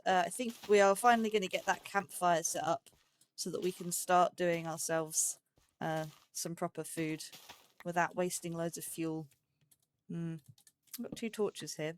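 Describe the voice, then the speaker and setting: A woman's voice: medium pitch, dry recording. Chatty woman, very dry close sound. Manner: thinks aloud to herself. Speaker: host of a gaming let's play series. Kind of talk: casual chatty gameplay commentary.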